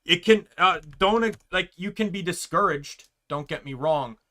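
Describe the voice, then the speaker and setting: A young man streaming, chatting casually with a loud voice, rambling with lots of fillers and restarts. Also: sits high, a little slow.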